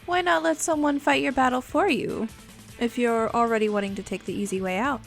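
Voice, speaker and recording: A woman voicing a bossy, world-weary but protective female vampire in an ASMR roleplay, speaking in a haughty, condescending, deliberate way. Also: fairly high pitch, steady tone, vocal fry, faint music behind. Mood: protective, commanding. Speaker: voice actor in an audio roleplay.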